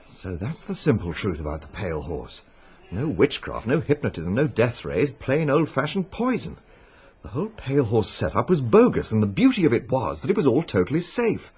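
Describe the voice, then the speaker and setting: An actor with an English accent playing an anxious, urgent amateur sleuth in a radio drama, with a husky voice. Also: male voice, mid-range voice, very animated, normal speed, darkish tone. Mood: reasoning.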